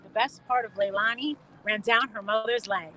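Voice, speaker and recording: A woman's voice: a little high, steady tone, normal speed, sharp tone, low-quality recording. A woman in casual banter outdoors on a street, with some street and traffic noise behind her.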